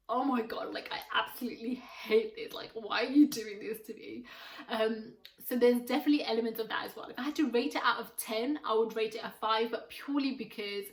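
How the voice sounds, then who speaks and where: A young woman whose first language is Urdu talks in a loose, rambling vlog manner, full of fillers and restarts. Her voice sits a little high, and the room around her has a noticeable echo. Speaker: video blogger reviewing a series. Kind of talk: casual review vlog. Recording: echoey room.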